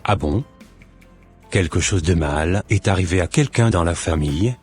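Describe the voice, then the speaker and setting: A man playing a suspicious, impatient husband in an animated drama, speaking in a deliberate, formal way with a husky voice. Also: sits low, soft background music. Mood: questioning, impatient. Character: suspicious, impatient husband waiting for his unfaithful wife.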